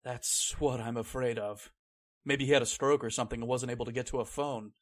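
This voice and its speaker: A man's voice: mid-range voice, steady tone, fairly quick, natural voice. A man playing an anxious, uneasy employee in an old-time radio drama, speaking in a worried, hesitant way. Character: anxious, uneasy male employee searching his employer's gloomy house.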